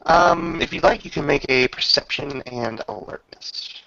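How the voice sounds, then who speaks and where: Gravelly man running a tabletop game. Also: on the high side, moderate pace, sharp tone, no room sound, muffled recording. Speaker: game master. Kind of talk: improvised narration of a tabletop roleplaying game.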